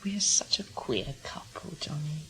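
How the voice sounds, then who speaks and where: A woman's voice: sits low, lively intonation, measured pace, pushed and bright, whispered, heavily acted, poor audio. An actress playing a candid, earnest woman, a spy's reflective lover, in an intimate radio drama scene. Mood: wistful. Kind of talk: naturalistic radio drama acting.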